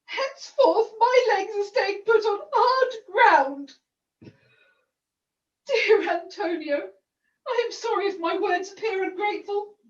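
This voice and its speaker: Pantomime maid, woman performer: lowly, plaintive, melodramatic, very high and swooping. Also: expressive, slow pace, full character voice. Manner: florid, old-fashioned, plaintive speech. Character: Elsie, a lowly, overwrought, melodramatic young runaway maid. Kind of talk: theatrical pantomime acting. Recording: echoey distant muffled video-call audio.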